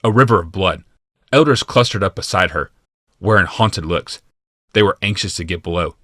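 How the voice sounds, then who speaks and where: A man narrating a fantasy audiobook from a script in a measured, deliberate and dramatic manner. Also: sits low, lively intonation, fairly bright tone, no echo, studio quality.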